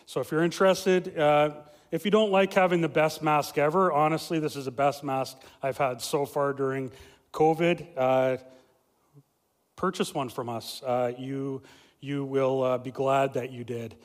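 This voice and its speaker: A young adult man, a family pastor, preaching a loud, lively sermon with many fillers and restarts. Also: medium pitch, unhurried. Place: church sanctuary. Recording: reverberant church hall.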